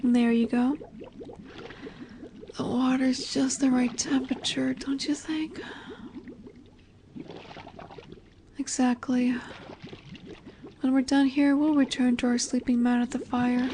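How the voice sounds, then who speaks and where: Woman doing a high soft lamia monster girl, dominant but caring, mellow, intimate audio roleplay. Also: fairly even intonation, unhurried, pauses often, slight background noise. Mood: hushed, soothing. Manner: soothing, gently commanding, affectionate. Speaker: voice actress.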